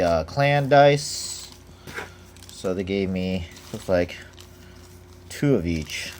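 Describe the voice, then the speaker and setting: A young man with a very low voice talks slowly and loosely, filling gaps with ums and uhs as he opens packages. The sound is a plain home recording with steady background noise and the rustle of packaging nearby. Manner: rambling, relaxed, many fillers. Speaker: card collector unboxing. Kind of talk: casual unboxing commentary. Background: crinkling of packaging.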